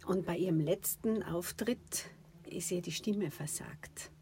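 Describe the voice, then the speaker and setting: A woman, slightly hoarse from a cold, chatting as she asks an interviewee questions. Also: lowish voice, a little slow, dark tone, slight background noise. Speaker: interviewer, host. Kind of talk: casual interview.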